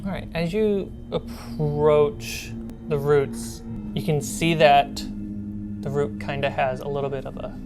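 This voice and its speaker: A woman with a low voice narrates a tabletop game as game master. She takes her time, pausing through each suspenseful description. Soft music plays underneath. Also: fairly even intonation. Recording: music bed behind the voice.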